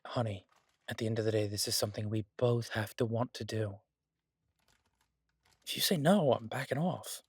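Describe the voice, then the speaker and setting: A devoted husband and cook , acted by a man, speaks in a lowish, slightly husky voice, close and dry on the mic. He is easygoing and gently teasing. His delivery is casual, halting and reassuring, as in a one-sided audio roleplay for a loved one. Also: no echo. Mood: hopeful, tender.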